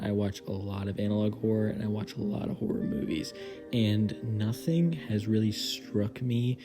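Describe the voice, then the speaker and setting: A young man hosting a casual video essay as a horror fan, speaking informally and earnestly with some swearing. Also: fairly low pitch. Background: clear background music.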